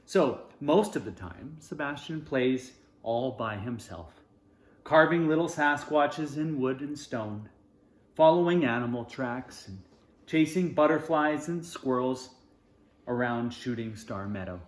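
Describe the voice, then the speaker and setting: A man reads a children's storybook aloud in a medium-pitched voice with lively rises and falls. He phrases expressively, pausing between short phrases. The room adds a clear echo around his voice. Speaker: storybook reader. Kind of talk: children's storybook read-aloud with informal asides.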